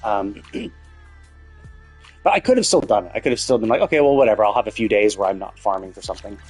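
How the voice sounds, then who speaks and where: A man's voice: on the low side, fast, a little mellow, slightly rough, soft background music. A man chatting casually on a gaming livestream in a rambling, conversational way, with loose, casually profane talk. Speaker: live streamer.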